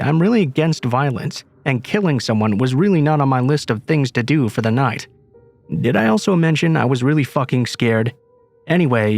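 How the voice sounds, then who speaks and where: A man reading a true horror story aloud in steady, measured scripted narration. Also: mid-range voice. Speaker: narrator reading submitted stories. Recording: faint music underneath.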